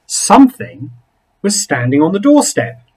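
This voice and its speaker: Animated man reading a children's story, husky voice. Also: high voice. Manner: animated, with dramatic pauses and asides to young listeners. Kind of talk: expressive read-aloud of a children's picture book.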